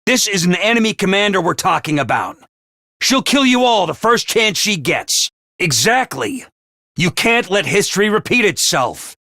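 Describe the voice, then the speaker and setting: A man voicing a brisk, ruthless academy commander in a video game, barking orders and announcements. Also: sits high, big pitch swings, pushed and bright, dry recording, studio quality, full character voice. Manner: clipped orders and announcements, drill-sergeant bark. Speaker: voice actor in a game.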